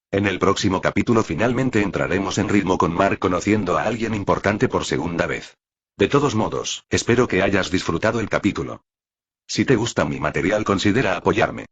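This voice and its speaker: Guy narrating a story, raspy low voice, reading off a script. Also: a bit bright, highs cut off. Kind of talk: scripted audiobook narration of fan fiction.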